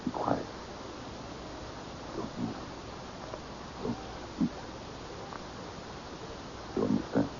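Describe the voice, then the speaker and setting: An actor playing a mysterious, courtly and commanding eccentric man with a gravelly, deliberate delivery, in old-time radio mystery style. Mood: intent, hypnotic. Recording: dull, noisy old radio recording.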